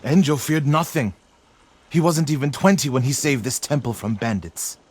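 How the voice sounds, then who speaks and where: A medium-pitched warrior monk played by a man speaks with grave, earnest devotion and a stubborn streak. The acting is dramatic video game work, with faint rain noise behind it. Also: lively intonation, normal speed, close to an everyday voice. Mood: proud, bitter.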